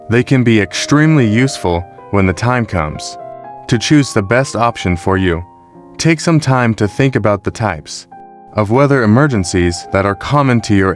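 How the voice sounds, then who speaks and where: A man reads a scripted product review narration in a measured, explanatory way. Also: lowish voice. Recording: music behind the voice. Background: background music.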